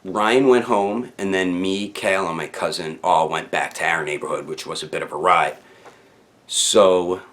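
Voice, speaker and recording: A man with a low voice hosts a casual storytime for his online viewers. He talks in loose, slangy sentences without a script, rambling and doubling back on words as he goes. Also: moderate pace, fairly bright tone. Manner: rambling, slangy, with stammered repeats. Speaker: YouTube storyteller, channel host.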